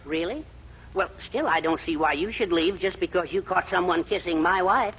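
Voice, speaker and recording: An actor playing a fussy, henpecked middle-aged American husband and eager schemer, blustering and hesitant in 1940s radio comedy style. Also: male voice, sits high, normal speed. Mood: flustered. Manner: excitable, blustering, hesitant stammers. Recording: dull, noisy 1940s radio recording.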